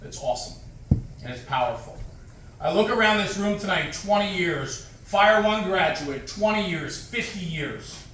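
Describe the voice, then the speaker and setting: A man's voice: sits high, expressive, measured pace, a bit bright, slight background noise. A man in his forties giving an emphatic motivational speech at a fire department event, using punchy, repeated short phrases. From United States. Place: large echoey hall with an audience. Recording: very echoey hall, distant mic.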